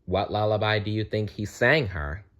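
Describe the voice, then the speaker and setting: A man narrating a children's story aloud in an expressive storytelling style. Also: on the low side, normal speed.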